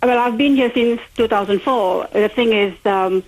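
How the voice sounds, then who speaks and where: A woman's voice: on the high side, darkish tone. A woman from Singapore calling into a radio show, asking her question hesitantly over the phone. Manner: hesitant, halting. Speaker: caller. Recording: phone line.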